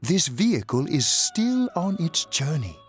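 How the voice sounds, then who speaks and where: An actor playing an eerie, amused old master of a mysterious room in a video game, speaking in a theatrical, deliberate way. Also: male voice, a little high, sing-song, faint music behind.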